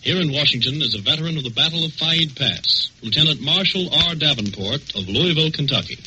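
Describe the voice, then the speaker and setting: A man's voice: on the high side, animated, a little fast, sharp tone. A man interviewing a guest in a scripted 1940s radio style. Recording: noisy 1940s radio, somewhat distant.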